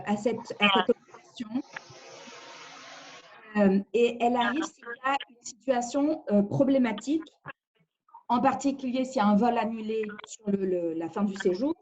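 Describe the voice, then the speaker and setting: Woman from France, immigration lawyer, webinar talk, careful explaining, hesitant, fairly high voice, dull video-call audio. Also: darkish tone, a little hiss or hum. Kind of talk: webinar presentation.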